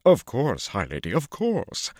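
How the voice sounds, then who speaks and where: A man voicing an excitable, fawning male showman who gushes and scrapes before nobility. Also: medium pitch, a little slow, no room sound, studio quality, voice pushed up. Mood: gushing. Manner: gushing, bowing and scraping, breathless excitement.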